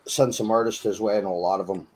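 A young man hosting a casual online radio interview from a small room, his voice hoarse and husky from illness, laid-back and swearing freely. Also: fairly low pitch, steady tone, quick pace. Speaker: radio show host. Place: small dim room with colored lights.

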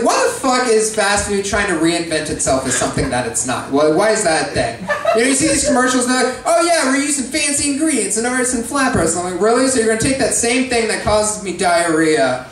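High-voiced ranting male comic in an echoey pub. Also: expressive, fast talker. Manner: profane, ranting, laughs at his own jokes. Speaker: stand-up comedian and guest host. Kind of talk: live stand-up comedy. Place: crowded pub stage. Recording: echoey pub, distant, crowd noise.

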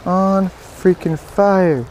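The guy on a streamside action-camera fishing video, talking excitedly in a soft-edged, slightly high voice over faint water noise. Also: extremely slow, low-quality recording. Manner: exclamatory, repeats words in bursts. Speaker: fishing vlogger. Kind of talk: excited casual commentary while fishing. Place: outdoors beside a stream. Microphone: body-worn action camera. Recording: outdoor water noise behind voice.

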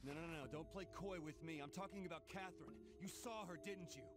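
A man's voice: on the high side, fast talker, natural voice. Actor as troubled, weary American man. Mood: angry, desperate. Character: troubled, weary man drinking in a bar, easily rattled. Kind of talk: animated video game cutscene acting. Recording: game music behind the voice.

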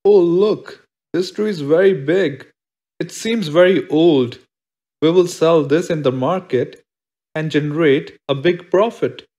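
A man playing a greedy young woodcutter in a cartoon moral story, with a plain, deliberate delivery. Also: fairly high pitch, darkish tone, muffled recording. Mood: eager. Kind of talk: animated moral story dialogue.

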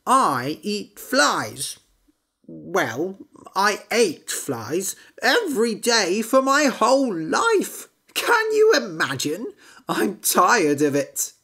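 A woman voicing a curious, picky little male frog who talks brightly. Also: medium pitch, very animated, very slow, breathy edge, voice pushed up, full character voice. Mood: weary.

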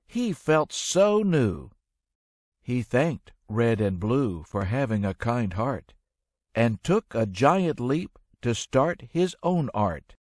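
A man narrating a rhyming children's story in an expressive, measured storytelling manner. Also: mid-range voice, no room sound, clean studio sound.

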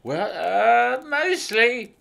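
High-pitched, hesitant little old elf. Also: male voice, very animated, drawn-out words, creaky, fairly bright tone, heavily acted. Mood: hedging. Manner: hesitant, fussy, with uhs. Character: little old male elf, anxious helper.

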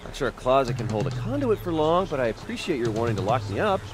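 A man plays a cocky, impulsive younger brother in a video game. His mid-pitched voice swings up and down a lot, flippant and sarcastic. Music is clearly audible behind him. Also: very animated, fast, natural voice. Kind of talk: video game dramatic dialogue, naturalistic.

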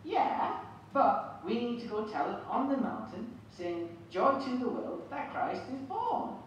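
A woman's voice: fairly high pitch, sing-song, unhurried. A woman voicing an eager, friendly sheep puppet in a scripted show, with projected stage delivery. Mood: excited. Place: church hall, puppet stage, far camera. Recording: very echoey church, distant noisy mic.

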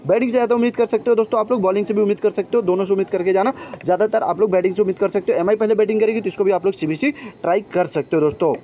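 Rapid-fire high-voiced young man. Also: warm tone, slight background noise. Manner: chatty, addresses viewers as friends and brothers. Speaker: fantasy cricket tipster. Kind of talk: informal fantasy sports team preview. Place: voice-over on a phone screen recording.

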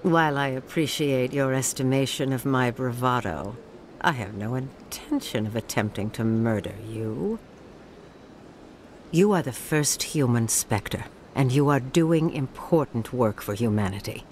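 A woman's voice: extremely low pitch, animated, measured pace. A woman playing a calculating underworld businesswoman in a video game, speaking formally, with composed persuasion. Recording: faint ambient noise behind.

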